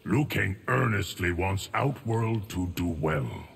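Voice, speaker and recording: An actor voicing a solemn, stoic, ageless guardian of time in a video game, formal and measured with a gravelly voice. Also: male voice, deep voice, lively intonation, slight background noise, full character voice.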